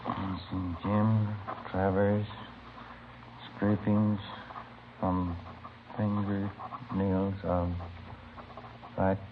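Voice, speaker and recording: An easygoing American police detective with a lowish voice. He is laconic, casual and unhurried, a sidekick in an old radio crime drama. The sound is thin like a phone line, with clear noise. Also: little pitch movement. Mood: routine. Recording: scratchy, band-limited vintage radio recording.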